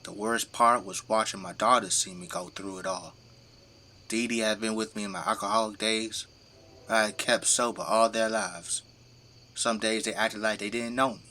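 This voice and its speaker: A man's voice: lowish voice, fairly even intonation, normal speed, sharp tone, a little hiss or hum. An actor playing a middle-aged, devoted father and plain-spoken government zombie fighter in an audio drama. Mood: somber, confessional. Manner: commanding, plain-spoken, folksy turns of phrase. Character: gruff, devoted father working as a zombie-burning government janitor.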